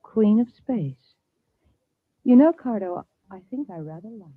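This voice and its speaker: An actress playing a cool, calculating and ambitious villainess in an old-time radio science fiction drama. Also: female voice, mid-range voice, animated, unhurried, no room sound. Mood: smug, scheming. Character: scheming, ambitious villainess plotting against rival space lines. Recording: muffled vintage radio recording.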